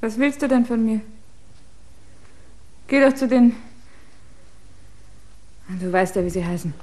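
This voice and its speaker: A woman's voice: a little high, measured pace, warm tone, lightly acted. An actress in a German radio drama playing a hurt young barmaid who coldly rejects her fiancé. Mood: bitter. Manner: bitter, cold, reproachful.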